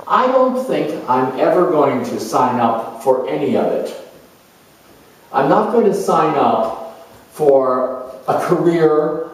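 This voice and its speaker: Casting: man portraying a jaded young son in a dramatic monologue, halting and slow, recorded in a very echoey room. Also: fairly high pitch, pitched above the performer's own voice. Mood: defiant, carefree. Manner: weary, halting, resigned. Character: bored, burdened young Capricorn man resenting his father. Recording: distant mic in echoey room.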